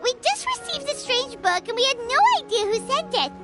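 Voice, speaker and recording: Squeaky little fairy companion. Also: female voice, big pitch swings, fairly quick. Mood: indignant. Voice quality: squeaky, childlike. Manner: indignant, refers to self in third person. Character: Paimon, tiny childlike fairy companion, excitable and pouty. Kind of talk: video game character acting. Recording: music behind the voice.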